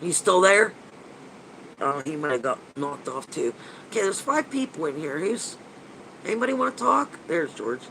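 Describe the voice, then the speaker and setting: A man's voice: a little high, very animated, normal speed, vocal fry, bright, forceful tone, slight background noise, rough recording. A man in his fifties with a stuffy, nasal voice, rambling and gushing in a casual live chat with viewers as a paranormal investigator, swearing now and then. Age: 50s.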